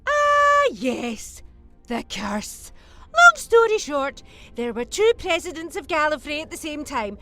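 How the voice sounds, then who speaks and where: A woman's voice: high-pitched, fairly bright tone, heavily acted. A woman playing a gleefully unhinged, vengeful Time Lord villainess, theatrical and flippant with turns of menace, in a scripted fan audio drama monologue. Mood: playful, condescending.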